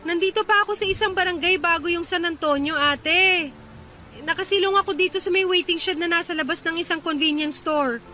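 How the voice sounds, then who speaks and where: A woman's voice: very high voice, a little fast, pushed and bright, faint music behind. An actress in a Filipino radio drama playing an irritated, tired young woman stranded late at night, complaining in exasperation. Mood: exasperated.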